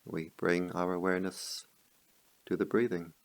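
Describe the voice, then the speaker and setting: A man guiding a meditation as a teacher, speaking calmly in measured short phrases with long pauses. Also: low-pitched, vocal fry. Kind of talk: guided meditation.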